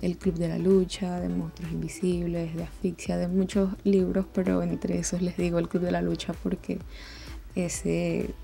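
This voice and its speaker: A young Venezuelan woman talks casually over soft music. Her voice is on the low side and mellow, with a lot of creak, and she rambles along informally in a conversational way. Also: flat delivery, normal speed. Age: 20s. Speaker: art channel host. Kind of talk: casual chatty voice-over over drawing.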